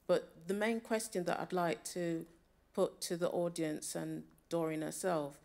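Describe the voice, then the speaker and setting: A woman's voice: lowish voice, fairly even intonation, a little slow, vocal fry, a little mellow. A woman asking a question from the audience at a public discussion, phrasing it earnestly and carefully. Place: theatre auditorium.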